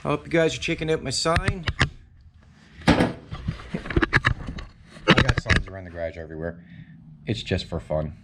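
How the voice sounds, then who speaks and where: A man's voice: medium pitch, very animated, moderate pace, long pauses, heavy background noise. Chatty Newfoundland man in a garage. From Newfoundland, Canada. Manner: chatty, rambling, with frequent fillers and restarts. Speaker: channel host. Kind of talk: casual DIY garage tutorial. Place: home garage.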